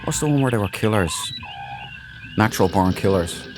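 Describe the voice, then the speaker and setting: Low-voiced man chatting over game music. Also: lively intonation, moderate pace. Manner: chatty, informal, rambling explanations. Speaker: gaming video host. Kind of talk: casual let's play commentary.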